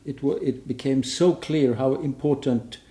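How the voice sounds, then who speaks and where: A man's voice: mid-range voice, unhurried, mellow. A man speaking on a conference panel, hesitant and searching for words, restarting often. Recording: roomy conference room.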